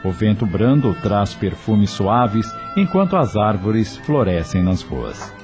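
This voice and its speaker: A man narrating a radio drama from a script in an expressive, literary storytelling manner. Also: on the low side, slow pace, over music.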